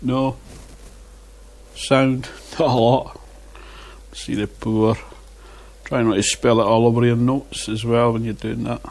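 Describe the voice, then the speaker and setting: A man's voice: mid-range voice, level tone, slow, long pauses, a little mellow. A man reviewing beer in a casual vlog, chatting and muttering asides to himself on a lapel mic. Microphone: wireless lapel mic.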